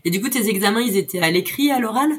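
A woman's voice: mid-range voice, animated, racing, husky. A woman conducting a casual interview in an informal, supportive way, rephrasing what she hears.

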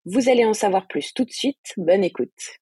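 A woman's voice: mid-range voice, expressive, moderate pace. A woman hosting an interview podcast, reading introductions and putting questions to a guest. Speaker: podcast host.

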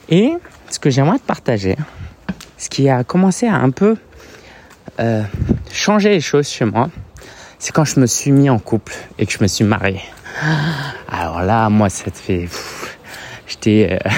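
A French man in his thirties speaks in a loose, hesitant vlog style, stopping often on little fillers as he talks straight to his viewers. His voice sits at an ordinary middle pitch for a man. Soft music plays faintly behind him. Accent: French (France). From France. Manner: hesitant and chatty, full of fillers. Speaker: business coach. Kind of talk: casual vlog monologue.

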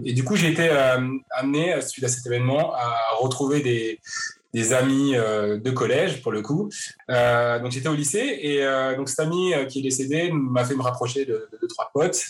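A man in his forties from Paris, telling his story as a podcast guest with frequent hesitations and restarts. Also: medium pitch. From Paris, France. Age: 40s. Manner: hesitant, many fillers and restarts. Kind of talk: interview, personal story.

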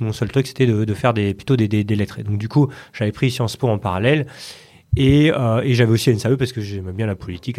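A man's voice: lowish voice, dark tone. A man in his late thirties with a Parisian French accent, answering interview questions in a hesitant, casual way with frequent repeated words. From Paris region, France. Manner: hesitant, with many word repetitions and filled pauses. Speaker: podcast guest, company chief executive.

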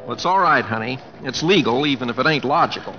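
A man's voice: mid-range voice, lively intonation, fast, background noise, natural voice. An actor playing a sheepish, folksy American cowboy showman and newlywed, with a hesitant drawl, in a 1950s radio drama. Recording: dull vintage radio recording.

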